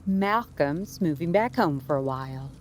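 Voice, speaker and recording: A woman's voice: sits low, lively intonation, unhurried, a little mellow, a little hiss or hum. An actress playing a firm, coaxing, practical middle-aged mother of grown sons in an audio drama. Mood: matter-of-fact. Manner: firm, coaxing, matter-of-fact.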